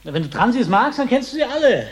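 A man's voice: extremely high pitch, sing-song, fast. A man playing a rattled, defensive young murder suspect with plain street talk in a German radio crime drama.

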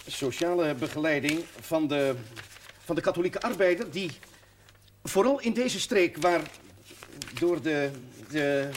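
A formal, long-winded parish priest in a TV drama. His voice sits a little high and he picks his way haltingly through his phrases. The old soundtrack is noisy. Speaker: actor in a television series. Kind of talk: period television drama acting.

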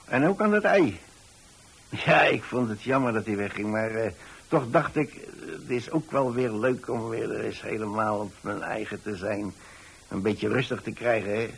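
Gravelly old pensioner, rambling fondly. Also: male voice, fairly low pitch, full character voice, low-quality recording. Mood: wistful, fond. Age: older. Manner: hesitant, rambling, chatty monologue. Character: kindly rambling old pensioner landlord, widower-like homebody. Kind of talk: radio drama monologue.

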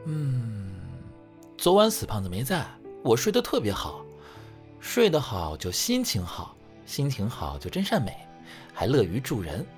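A man's voice: medium pitch, huge pitch swings, unhurried, soft background music. A man playing a cheeky, vain young idol group member with a playful, teasing manner in an audio drama. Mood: teasing, huffy.

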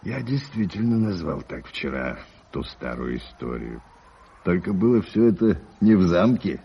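A deep, stately gentleman's voice in a vintage radio play. He reminisces genially and with deliberation. The sound is dull, with a clear layer of noise. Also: big pitch swings, moderate pace, heavily acted. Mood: musing. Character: priest's old friend, genial, measured gentleman reminiscing. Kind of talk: 1980s Soviet radio drama acting. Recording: muffled vintage radio recording with hiss.

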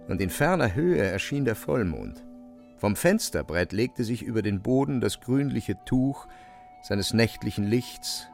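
Low, gravelly male narrator. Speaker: narrator of a radio drama. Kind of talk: literary scripted narration.

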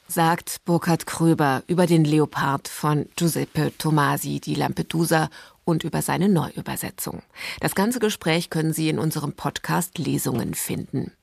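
Deep-voiced woman radio presenter. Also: moderate pace, vocal fry, a little mellow, breathy edge, no room sound. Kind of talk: scripted radio introduction. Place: dry studio.

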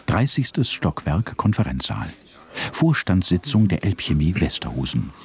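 A man's voice: low voice, sing-song, measured pace, creaky. A man narrating a radio drama in a dry, ironic way.

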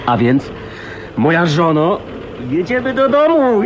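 An actor playing an easygoing, scruffy bachelor bridegroom in a radio drama, jovial and teasing, with hesitant little fillers. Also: male voice, high-pitched, big pitch swings, slow, highs cut off, full character voice. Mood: cheerful. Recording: noisy 1980s radio recording.

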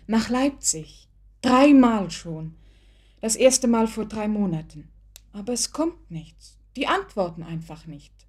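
A young woman in love in a radio drama. Her voice sits fairly high. She is polite and earnest, trusting and ready to defend what she believes with emphasis. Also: darkish tone, husky. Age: young adult. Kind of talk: German radio play acting.

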